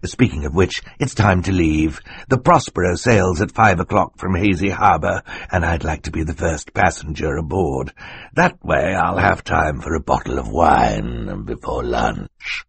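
Man voicing a sneering villain, very low and rough. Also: measured pace, dry recording, exaggerated voice. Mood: smug. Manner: drawling, mocking, snarling. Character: menacing, sneering adult male villain, cruelly smug. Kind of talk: audiobook character voice.

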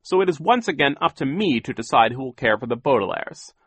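A man voicing a stern, fussy gentleman in authority for an audiobook, scolding with pompous, indignant emphasis. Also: mid-range voice, animated, a little fast, husky, dry recording, voice pushed up. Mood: firm, reproving. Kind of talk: audiobook character voice.

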